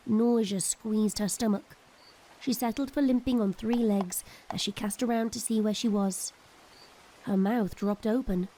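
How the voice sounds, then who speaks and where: A woman narrating a scripted audio drama in a measured, ominous storytelling manner. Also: medium pitch, dark tone, a little hiss or hum, poor audio. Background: music.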